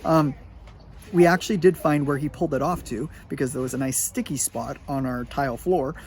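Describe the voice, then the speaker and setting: A middle-aged man telling a casual story to his students in a chatty, animated way, with lots of filler words. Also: a little high, fairly quick, a little hiss or hum. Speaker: high school sports medicine teacher.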